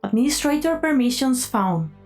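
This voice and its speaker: A woman's voice: high voice, big pitch swings, moderate pace. A woman voicing a composed ship computer in a game fan dub, giving clipped, formal system announcements. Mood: impassive. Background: background music.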